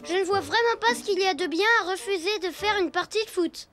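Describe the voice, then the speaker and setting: A woman voicing a cheeky, confident young animal boy in a French cartoon, slangy, expressive and boyish. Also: very high-pitched, a little fast, soft background music. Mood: puzzled.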